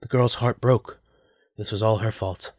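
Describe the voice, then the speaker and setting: A man's voice: fairly low pitch, fairly even intonation, measured pace, darkish tone, dry recording, studio quality. A man narrating a scripted story as the narrator of an audio drama.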